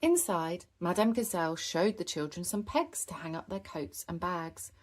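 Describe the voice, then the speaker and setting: A woman narrating a children's storybook in an animated, scripted style with expressive emphasis. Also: lowish voice, normal speed.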